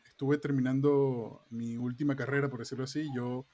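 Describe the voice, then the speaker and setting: A man's voice: medium pitch, flat delivery, normal speed, warm tone. A man, a guest telling his story in a thoughtful, rambling way with many fillers. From Peru, raised in Venezuela. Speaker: guest, software QA engineer. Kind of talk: casual interview conversation. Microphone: remote call setup.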